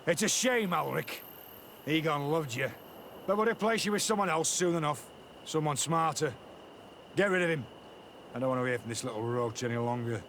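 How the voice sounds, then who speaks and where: A man's voice: on the high side, moderate pace, long pauses, fairly bright tone, a little hiss or hum. An actor playing a menacing, contemptuous male gang leader in a video game. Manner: contemptuous, threatening.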